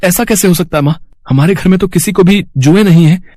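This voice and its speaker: A man's voice: high voice, a little fast, a little mellow, no room sound. A man voicing a puzzled young husband in a moral story cartoon. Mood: puzzled, alarmed.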